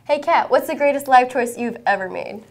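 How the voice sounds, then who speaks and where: A woman's voice: high-pitched, animated, normal speed. Playful teenage girl news co-host. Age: teens. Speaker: student news show host. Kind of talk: scripted student news hosting.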